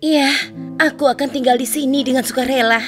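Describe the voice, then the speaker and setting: A woman's voice: sits high, steady tone, a little fast, soft background music, close to an everyday voice. A woman voicing a kind, devoted young beauty in a cartoon fairy tale, sweet and earnest. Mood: willing, earnest.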